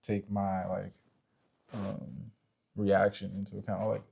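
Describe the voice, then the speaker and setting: A man's voice: on the low side, little pitch movement, slow pace, vocal fry, a little mellow, husky, dull audio. A man co-hosting a casual discussion about relationships, talking in an informal, slangy way peppered with filler phrases like "you know". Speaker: podcast co-host.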